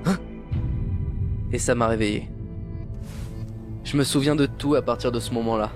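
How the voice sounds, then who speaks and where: A man's voice: mid-range voice, fairly even intonation, moderate pace, natural voice. A man voicing an earnest, helpful teenage student in an anime fan dub, explaining things matter-of-factly. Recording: loud music under the voice.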